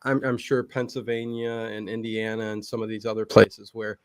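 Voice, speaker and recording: A man's voice: on the low side, level tone, moderate pace, no room sound. A man with an American Midwestern accent, talking casually and colloquially as a guest, often repeating himself. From Cleveland, Ohio, United States. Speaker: guest, horror show streamer. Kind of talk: casual chat.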